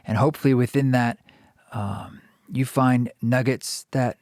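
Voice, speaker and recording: A male podcast host with a mid-range voice who talks in a slow, searching way, with frequent pauses and fillers. The sound is dry and close, with no room echo. He comes across as earnest and reflective. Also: mid-range voice, fairly even intonation, a little mellow. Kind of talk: reflective podcast monologue and interview.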